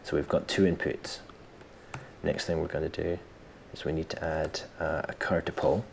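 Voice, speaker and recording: A man with an extremely low voice and plenty of gravelly fry walks through a software tutorial. He is informal and self-deprecating, thinking aloud as he goes. A faint background noise sits under the recording. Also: level tone, moderate pace. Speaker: tutorial presenter.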